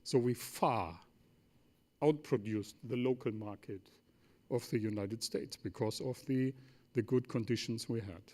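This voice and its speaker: A German man, a native German speaker, talks as an executive on a forum panel in a German accent. His voice sits at a medium pitch. He is emphatic, building points from short, repeated phrases and rhetorical questions. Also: a little slow, dark tone. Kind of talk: semi-formal panel talk.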